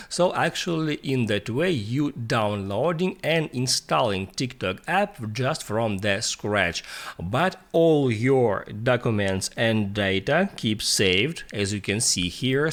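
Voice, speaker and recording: A man who is a non-native English speaker narrates a step-by-step tutorial in a sing-song, halting way. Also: fairly low pitch, a bit bright. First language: not English. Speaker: tutorial presenter.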